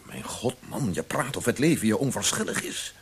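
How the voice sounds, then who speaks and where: A man's voice: fairly low pitch, expressive, normal speed, breathy edge, full character voice. An actor playing a stern, worried doctor in a radio drama, blunt and insistent with his patient. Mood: grave warning.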